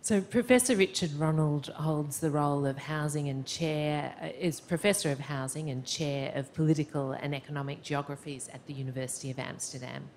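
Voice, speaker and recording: Female host, very deep voice, measured intro, faint hum behind. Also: a little mellow. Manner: measured, with frequent ums. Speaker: event host introducing the speaker. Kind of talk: formal introduction.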